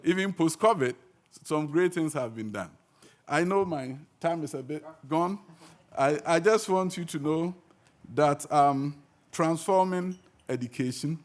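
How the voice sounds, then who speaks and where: Earnest male minister giving a speech. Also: fairly high pitch. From Ghana. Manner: earnest, emphatic, with fillers. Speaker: education minister. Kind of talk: conference speech. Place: conference hall.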